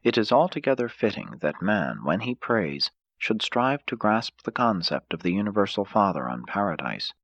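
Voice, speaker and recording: A man reading a scripted audiobook in a formal, measured way. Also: fairly low pitch, sing-song, a bit bright, no room sound, highs cut off. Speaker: audiobook narrator.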